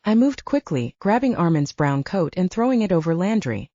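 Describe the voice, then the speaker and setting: A woman's voice: lowish voice, big pitch swings, normal speed, mellow, no echo, highs cut off. A woman reading scripted first-person fiction as an audiobook narrator.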